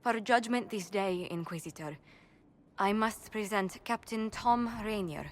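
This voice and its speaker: Lady playing formal diplomat at court, medium pitch, ceremonious, fantasy game, faint noise behind. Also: measured pace, lightly acted. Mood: solemn, reluctant. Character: formal, diplomatic woman ambassador announcing a judgment. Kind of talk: dramatic video game acting.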